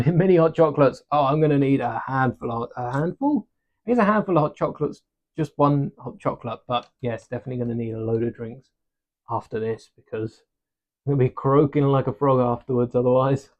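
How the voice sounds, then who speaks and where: A man chats casually to his live-stream viewers as he builds, thinking aloud and poking fun at himself. His voice sits at an ordinary pitch and comes through a desk mic. The stream audio is a little dull and lo-fi. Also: brisk. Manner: relaxed, chatty, self-deprecating, thinks aloud. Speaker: livestream host building a brick set. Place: home desk during a livestream. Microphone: desk microphone.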